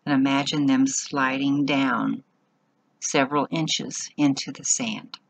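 Female meditation guide, slow and husky, measured instructional phrasing with generous pauses. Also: a bass voice, steady tone, poor audio.

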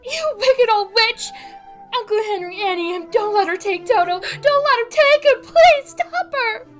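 A woman's voice: very high-pitched, lively intonation, a little slow, faint music behind. A woman voicing an earnest, innocent, emotional Kansas farm girl in a theatrical classic-film dub. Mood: desperate. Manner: earnest, girlish, pleading.

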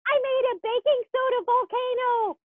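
A woman voicing a sweet, encouraging young polar bear puppet in a children's puppet show, gentle and childlike, heard through thin call audio. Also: very high-pitched, flat delivery, normal speed, narrow, tinny audio, slight background noise. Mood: helpful, keen.

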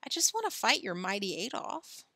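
Woman doing a cheeky confident little girl, breezy and bright, storybook character. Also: mid-range voice, big pitch swings, moderate pace, slightly rough, no echo, full character voice. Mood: matter-of-fact. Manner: breezy, self-assured. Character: bold, cheerful, cheeky strong little girl.

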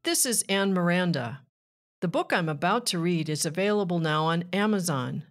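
A woman reading a rhyming children's book aloud with measured, deliberate phrasing. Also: fairly low pitch, animated, soft background music. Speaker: children's author and narrator.

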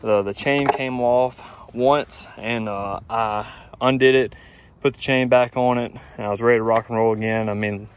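A man's voice: mid-range voice, fairly even intonation, moderate pace, clean tone. A young man, talking casually to a phone camera outdoors in a grassy yard, rambling and easygoing with lots of fillers. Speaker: lawn care business owner. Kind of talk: casual vlog talk to camera. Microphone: phone camera at arm's length.

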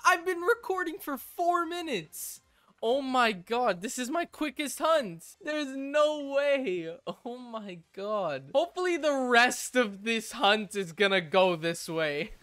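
A young man narrating his video game hunts from a home gaming room, breaking into excited shouts and laughter at lucky finds. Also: extremely high pitch, slow. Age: young adult. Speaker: gaming YouTuber. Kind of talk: game commentary and recap narration.

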